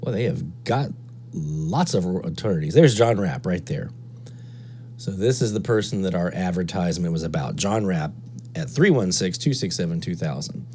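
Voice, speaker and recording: A man's voice: deep voice, animated, normal speed, warm tone, a little hiss or hum. A man presenting a casual screen-walkthrough tutorial, thinking aloud step by step. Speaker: presenter of a radio DXing tutorial.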